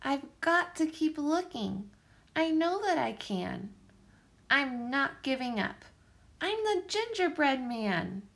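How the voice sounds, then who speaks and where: Woman as a plucky high-voiced little gingerbread man. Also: very animated, slow pace, gravelly, fairly bright tone, exaggerated voice. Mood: determined.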